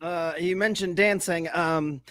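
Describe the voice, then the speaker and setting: A man's voice: fairly high pitch, steady tone, measured pace, bright, forceful tone, no room sound, studio quality. A man co-hosting a casual sports comedy podcast, sarcastic and freely profane as he runs through his notes. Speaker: podcast co-host.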